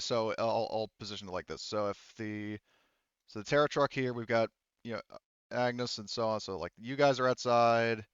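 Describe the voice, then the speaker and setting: A man with a lowish, clean and smooth voice runs a tabletop roleplaying game as game master. He talks casually and haltingly, working out the rules aloud. The sound is dry and slightly dull. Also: no echo. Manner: halting, thinking aloud while checking rules and setup.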